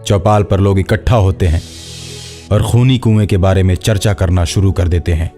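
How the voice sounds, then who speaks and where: A man's voice: deep voice, fairly even intonation, normal speed, warm tone, soft background music. A man narrating a scripted horror story.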